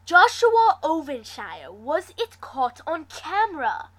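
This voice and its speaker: A woman's voice: high-pitched, huge pitch swings, slow, pitched above the performer's own voice, full character voice. A woman voicing a playful, sarcastic young sister in a story, sing-song and mock-threatening. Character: playful, teasing twenty-five-year-old woman, sarcastic sister.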